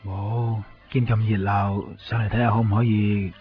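An actor playing a laconic, deadpan friend in a Cantonese radio drama, with a Hong Kong accent. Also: male voice, lowish voice, normal speed, natural voice. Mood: deadpan, casual. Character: laconic, deadpan male friend with odd gifts. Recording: dull lo-fi radio, faint music.

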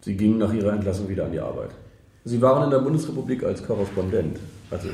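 Low, quick-talking male interrogator. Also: lively intonation, gravelly, a little mellow, reverberant. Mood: probing, insistent. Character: probing, matter-of-fact male police interrogator. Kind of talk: radio drama interrogation.

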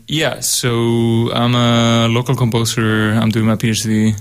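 A young man from Spain with a Spanish accent, a composer talking casually on a radio show in a studio. He pauses often with ums. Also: mid-range voice, completely flat delivery, clean tone. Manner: hesitant, many ums. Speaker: guest composer, co-host.

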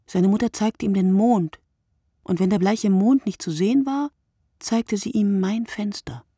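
Slow, husky sleepless woman writer. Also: medium pitch, dark tone, no echo, clean studio sound. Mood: wistful, narrating. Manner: measured, contemplative, lyrical delivery. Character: sleepless woman writer brooding on night and loneliness. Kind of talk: literary radio play monologue.

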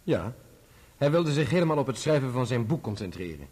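A proud, indignant witness in a courtroom radio drama. He answers formally and defensively, with a husky voice and lively intonation. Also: mid-range voice, brisk. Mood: guarded, firm. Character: indignant, proud adult son testifying in court. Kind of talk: radio play courtroom acting.

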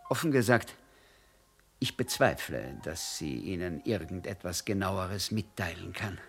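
An actor playing a reserved, formal diplomat who answers a police inspector politely but evasively, in a radio play. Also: male voice, low voice, animated, a little slow, creaky, fairly bright tone, soft background music, heavily acted. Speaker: diplomat, the wife's husband.

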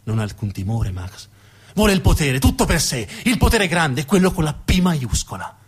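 A man's voice: a little high, very animated, normal speed, slightly rough. A man performing narrative theatre as a stage storyteller, with dramatic delivery and emphatic repetition.

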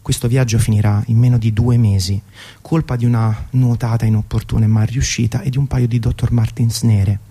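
A man in his thirties reading a scripted, literary radio narration in a measured, poetic way. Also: mid-range voice, flat delivery, dark tone, slightly rough. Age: 30s. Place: radio broadcast.

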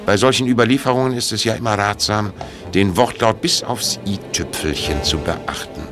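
Deep-voiced male narrator over music. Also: normal speed. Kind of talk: scripted audio drama narration.

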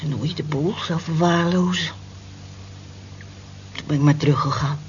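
A woman's voice: deep voice, fairly even intonation, moderate pace. An actress in a radio drama playing a down-to-earth, motherly woman of nearly sixty with a frank manner. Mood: wry, reassuring. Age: 50s. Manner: frank, matter-of-fact, motherly. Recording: dull lo-fi old radio recording, hiss.

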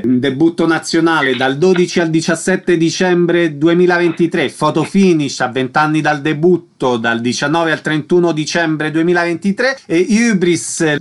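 A man hosting a radio interview, announcing programme details and dates. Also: a little high. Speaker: radio host.

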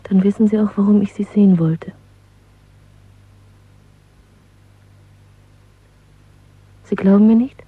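Actress doing a frank young rebel woman in a radio play, unabashed, husky, tinny old sound. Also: mid-range voice, fairly even intonation, fast talker, close to an everyday voice. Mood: insistent. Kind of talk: German radio drama acting. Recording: thin, phone-like old radio sound, dry.

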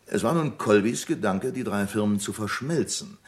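A man playing a composed, discreet businessman giving testimony in a radio crime drama, speaking formally and deliberately. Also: fairly low pitch, expressive, lightly acted. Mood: measured. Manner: formal, deliberate, hesitant pauses.

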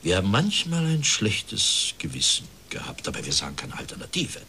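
A man's voice: fairly low pitch, wildly swooping pitch, normal speed, creaky, sharp tone, a little hiss or hum, full character voice. An actor playing a resigned factory director in his fifties, speaking formally and plaintively in a radio drama. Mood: bitter, resigned. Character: bitter, resigned plump factory director of fifty-one.

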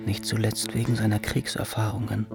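Male narrator, low creaky voice, scripted radio drama, terse description, music behind. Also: level tone, a little fast. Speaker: second narrator.

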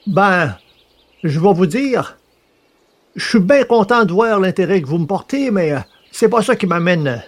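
A man's voice: high-pitched, animated, a little slow, pitched above the performer's own voice. A man voicing a humble, earnest French Canadian farmer in a folk tale, with a Quebec accent and hesitant, rustic phrasing. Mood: polite, hesitant. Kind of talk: comic folk tale dramatization.

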